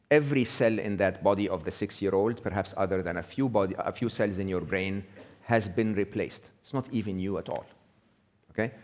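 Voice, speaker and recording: Middle-aged male speaker, low voice, casual stage talk, keeps asking "okay?". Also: a little mellow. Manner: conversational, checks in with frequent "okay?". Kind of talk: live talk to an audience. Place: small indoor venue with brick wall.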